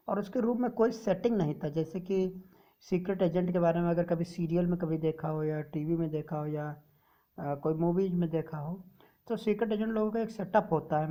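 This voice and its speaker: A woman teacher explaining a textbook story to students, reading English lines slowly and then explaining them in Hindi. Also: lowish voice, muffled recording.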